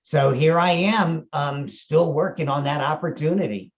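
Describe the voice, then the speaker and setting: A man's voice: on the high side, a little slow, muffled recording. An older American man giving conversational interview answers in a folksy, wry, colloquial way. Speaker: chief medical officer interviewed about his company.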